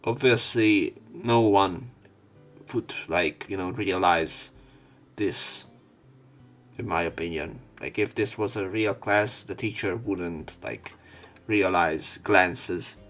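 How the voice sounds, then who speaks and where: A man's voice: low-pitched, takes it slowly, fairly bright tone, soft background music. A man reading visual novel narration aloud as a let's play commentator, adding casual, wry, sweary asides.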